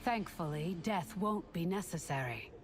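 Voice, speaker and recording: A woman's voice: lowish voice, expressive, unhurried, faint music behind. A woman playing a proud, resolute warrior empress in a video game, formal, firm and haughty. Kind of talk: video game cutscene acting.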